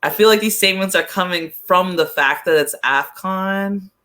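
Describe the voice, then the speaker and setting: A man co-hosting a sports podcast, chatting casually about soccer in a rambling, halting way and often correcting himself. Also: high-pitched, pushed and bright.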